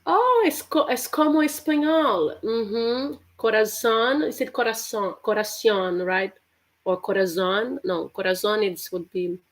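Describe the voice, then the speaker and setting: A woman with a Portuguese accent teaching a live online English lesson. She chats casually, laughs often, and repeats words slowly to show how they are said. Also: a little high, lively intonation. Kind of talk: live-streamed language lesson.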